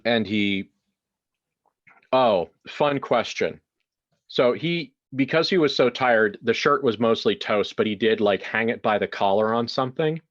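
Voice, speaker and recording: A man's voice: mid-range voice, unhurried, muffled recording. A man improvising narration for a tabletop roleplaying game in a casual way, thinking aloud as he goes. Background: music.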